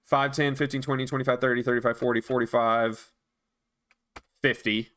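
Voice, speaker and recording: A man's voice: medium pitch, flat delivery, normal speed, a bit bright. A man working as game master, running a tabletop game and calling out moves and dice rolls.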